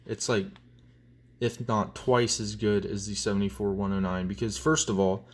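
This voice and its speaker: A man's voice: fairly low pitch, steady tone, normal speed. A man giving a casual show-and-tell review as a hobbyist, in a rambling, hesitant way, recorded on a phone. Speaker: hobbyist collector presenting items. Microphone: phone, handheld or propped nearby.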